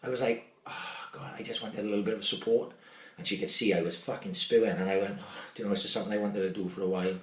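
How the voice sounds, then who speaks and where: Middle-aged podcast host, brisk sweary storyteller, deep voice, roomy distant sound. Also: male voice, fairly even intonation. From Wales. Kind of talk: casual chat. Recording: echoey room, distant mic.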